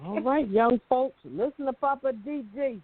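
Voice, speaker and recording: A woman's voice: high-pitched, moderate pace. Casual woman co-host, thin phone-like audio. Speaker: talk show co-host presenting weird news. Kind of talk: casual news reading with banter.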